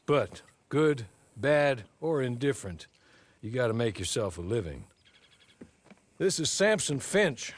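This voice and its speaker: Discreet Old West manservant, mid-pitched, formal, measured, courteous, video game cutscene. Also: male voice, very animated. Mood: composed, businesslike. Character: formal, discreet male servant of a frontier mistress.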